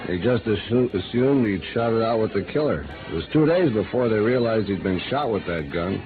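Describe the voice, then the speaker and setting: A man acts a world-weary, hard-drinking American reporter in a fifties radio drama. The voice sits low and carries a bitter, sardonic edge. It comes through narrow-band vintage sound with music behind. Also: animated, fairly quick, close to an everyday voice. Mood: cynical. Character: disillusioned, hard-drinking male newspaper reporter, bitter and lovelorn. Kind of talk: 1950s American radio noir acting. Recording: band-limited old radio recording with music.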